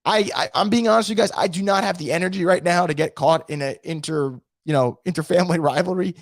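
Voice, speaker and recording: A man hosting a sports recap stream, hoarse and losing his voice from feeling sick, ranting and reading out chat comments. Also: high voice, fast, dry recording, studio quality. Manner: animated, frustrated, swearing. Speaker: sports podcast host.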